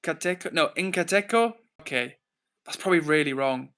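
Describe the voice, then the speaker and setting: A man's voice: fairly high pitch, normal speed, sharp tone. A man from England with an English accent, hosting a casual livestream, reading out comments and rambling informally as he thinks aloud. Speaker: livestream host.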